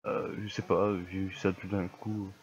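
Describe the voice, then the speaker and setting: A man's voice: deep voice, flat delivery, normal speed, muffled recording, slight background noise. A young man with a cold talking through his gameplay in casual, grumbling commentary, with a slightly stuffy voice. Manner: grumbling, easily annoyed at lag. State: has a cold, coughing. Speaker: gamer.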